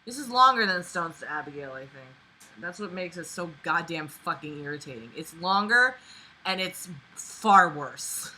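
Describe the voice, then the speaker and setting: A woman's voice: on the low side, lively intonation, normal speed, bright, forceful tone, slight background noise, low-quality recording. A young woman reading a book aloud casually with sarcastic, exasperated, sweary running commentary. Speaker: online book reader and commentator.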